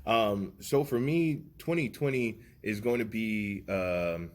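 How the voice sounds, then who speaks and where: A man's voice: on the low side, takes it slowly. A man in his early thirties talking earnestly and reflectively as a podcast co-host, giving motivational advice. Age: 30s. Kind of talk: casual podcast chat.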